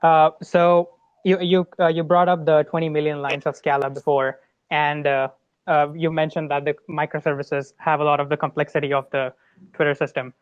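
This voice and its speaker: Higher-voiced man, halting questioner. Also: flat delivery, clear, resonant tone, highs cut off. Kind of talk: casual technical questioning.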